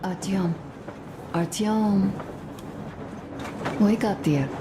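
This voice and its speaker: Slow, tender coaxing wife. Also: female voice, mid-range voice. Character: tender, coaxing wife waking her man. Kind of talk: dramatic game dialogue. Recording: clear background vehicle noise.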